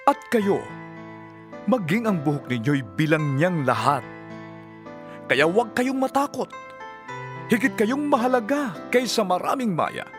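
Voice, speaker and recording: Casting: a solemn, measured holy teacher, very animated intonation, prominent music underneath, scripture audio drama. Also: male voice, high-pitched. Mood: earnest, reassuring.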